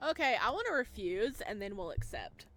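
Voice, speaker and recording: A woman reading a visual novel aloud as a player, in expressive, theatrical narration over music. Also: medium pitch, brisk. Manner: expressive, theatrical reading with stresses and hushed passages.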